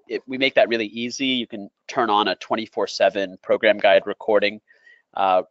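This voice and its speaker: A man giving an unscripted sales presentation as a company presenter, explaining in a halting way and repeating phrases. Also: lowish voice. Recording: dull, lo-fi audio in an echoey room.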